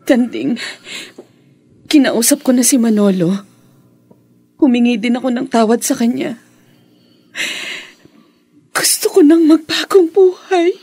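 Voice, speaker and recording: An actress playing a remorseful, unfaithful lover in a Filipino radio drama, pleading and hesitant. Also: female voice, fairly high pitch, lively intonation, takes it slowly, long pauses, warm tone, husky, no room sound, exaggerated voice.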